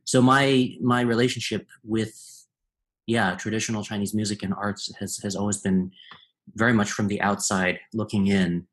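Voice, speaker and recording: A man talks as a guest in an easygoing conversation, his voice sitting a little low. He speaks thoughtfully, pausing and reaching for the right words as he goes. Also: fairly even intonation. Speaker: guest composer. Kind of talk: casual conversation.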